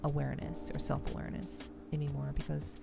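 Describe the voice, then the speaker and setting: A woman streamer with a low voice and plenty of vocal fry. She talks softly and gently, in the rambling, explanatory manner of an ASMR game stream host. Music plays clearly behind her. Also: completely flat delivery, quick pace. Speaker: streamer, host of a weekly live show. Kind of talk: soft-spoken ASMR game stream chat. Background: background music.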